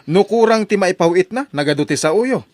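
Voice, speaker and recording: A man's voice: sits high, animated, brisk, darkish tone, dry recording. A man with an Ilocano accent playing a stern relative who lectures a mother in a drama. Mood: reproachful. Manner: reproachful, lecturing. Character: stern middle-aged male relative scolding a mother.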